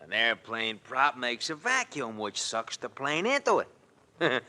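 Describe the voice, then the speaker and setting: An actor playing a casual, folksy sidekick with a creaky voice in old-time radio drama. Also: male voice, medium pitch, sing-song, a little slow, pushed and bright, full character voice. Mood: pleased.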